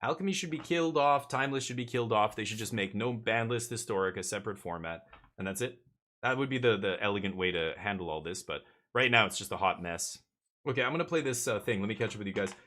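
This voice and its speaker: A man's voice: on the low side, expressive, quick pace, fairly bright tone. A man from Canada chatting casually on a live stream while gaming, opinionated and blunt, swearing and stumbling over repeated words as he rants.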